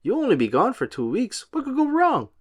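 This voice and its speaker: Man acting out cheerful cartoon sponge, high, swooping, earnest, excitable, storybook superhero. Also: brisk, breathy edge, full character voice. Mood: cheerful, eager. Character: cheerful, eager young sea sponge turned superhero.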